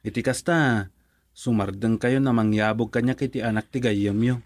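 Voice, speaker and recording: A man's voice: medium pitch, steady tone, normal speed, dry recording, lightly acted. A young man with an Ilocano accent acting an earnest, pleading grown son in a radio drama. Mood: insistent.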